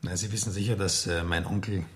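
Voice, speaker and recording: An actor playing a young, condescending but polite count in a radio drama, genteel and a little hesitant. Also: male voice, deep voice, steady tone, fast, background noise, lightly acted. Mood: confidential. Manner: genteel, hesitant, with tag questions. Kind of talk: German radio drama acting.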